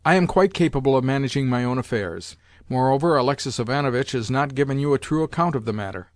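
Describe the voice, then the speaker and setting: A man's voice: mid-range voice, fast talker, no echo, lightly acted. A man reading aloud, voicing a lofty, sneering general who speaks condescendingly.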